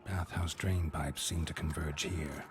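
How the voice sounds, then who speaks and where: An actor playing a stoic, world-weary monster hunter in a video game, terse and deadpan. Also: male voice, very deep voice, measured pace. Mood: pensive. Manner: terse, deadpan, muttering to himself. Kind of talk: dramatic video game dialogue. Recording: background music behind the voice.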